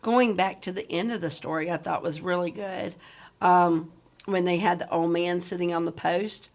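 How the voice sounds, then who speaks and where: A woman's voice: lowish voice, steady tone, normal speed. A woman teacher reading a book aloud to students, speaking directly to them as if leading a discussion. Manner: addresses listeners directly with questions. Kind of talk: read-aloud lesson with discussion questions.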